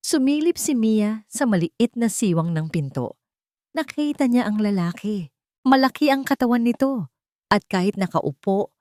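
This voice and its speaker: A woman's voice: fairly low pitch, a little mellow, dry recording, clean studio sound. A woman narrating a scripted drama story in a suspenseful, emotive manner.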